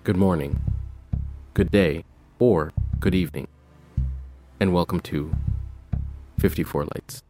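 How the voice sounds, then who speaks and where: Man, very low voice, podcast host, curious questions, faint music behind. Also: slow, pauses often, darkish tone. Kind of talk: casual interview and scripted intro.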